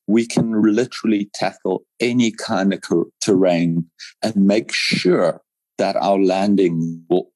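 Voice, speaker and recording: Slow, low-voiced man. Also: warm tone, dry recording. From South Africa. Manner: reassuring, explanatory, repeats phrases for emphasis. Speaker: paragliding pilot, interview guest. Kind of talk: conversational interview answers.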